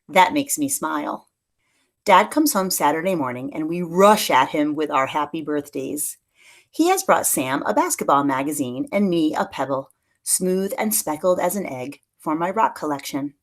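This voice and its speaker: A woman reading a children's picture book aloud in an expressive storytelling manner, like a teacher to pupils. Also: deep voice, normal speed. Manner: expressive storytelling, acts out dialogue lightly.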